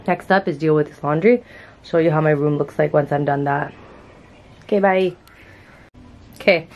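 Young woman rambling to her camera in her bedroom, kinda low voice, swears a lot, super casual. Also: darkish tone. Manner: rambling, chatty, swears freely. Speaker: vlogger. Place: small bedroom at home. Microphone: handheld camera at arm's length.

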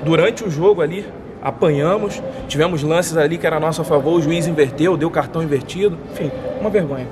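A man's voice: a little high, fast, background noise. A man in his thirties with a Brazilian accent, playfully complaining after losing a match. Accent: Brazilian Portuguese. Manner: mock-outraged complaining. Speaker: losing player. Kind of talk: post-match interview. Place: indoor sports hall.

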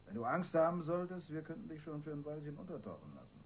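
An actor playing a wry, self-assured police detective in a radio crime drama, colloquial and needling. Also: male voice, fairly high pitch, very animated, fast talker. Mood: needling, sardonic. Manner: colloquial, sardonic. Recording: radio play with faint noise.

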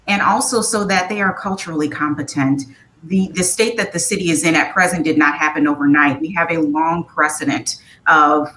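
A woman in her thirties with an American accent speaks on a remote panel in an articulate, earnest way. Her voice sits a little low but has a bright, forceful edge. It comes over a video call with faint noise and rumble. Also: rough recording. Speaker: museum community engagement panelist. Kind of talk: panel discussion remarks. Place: small furnished room at home, on a video call.

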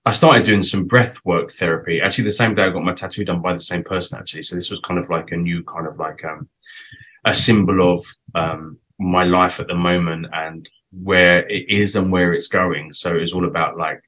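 A British man nearing fifty hosts a relaxed interview over a webcam from his home. His voice sits low and deep, and he talks in a chatty, reflective way about personal matters. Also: moderate pace, rough recording. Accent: British English. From Britain. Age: 40s. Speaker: interview host. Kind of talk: casual video call interview. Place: home room, indoors. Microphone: webcam on a video call.

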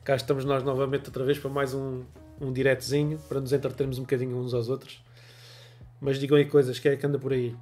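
Chatty host in his 40s, European Portuguese accent, a cold in his voice, mid pitch, faint music underneath. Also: level tone, fast talker, a little mellow. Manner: chatty, laughs often, greets viewers by name. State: has a cold. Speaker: photography livestream host. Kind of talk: casual livestream chat reading viewer comments. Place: small home room with desk lamp.

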